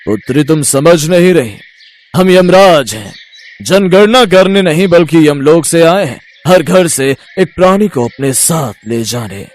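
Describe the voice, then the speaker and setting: A man's voice: fairly high pitch, lively intonation, unhurried. A man voicing a stern, formal god of death who gives patient but insistent commands in a moral story. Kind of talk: animated Hindi moral-story voice acting.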